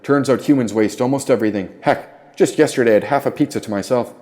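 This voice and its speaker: A man's voice: mid-range voice, fast, darkish tone, natural voice. A man voicing a wise, athletic opossum mentor in an audiobook, earnest and proclaiming, with grand philosophical turns. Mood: cheerful bragging.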